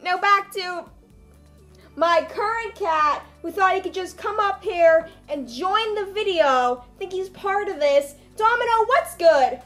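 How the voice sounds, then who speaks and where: A woman's voice: very high voice, lively intonation, takes it slowly, fairly bright tone, soft background music. A young adult woman reading a story aloud in a comedic, mock-dramatic, self-mocking way with casual asides. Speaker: vlogger reading her own old story aloud.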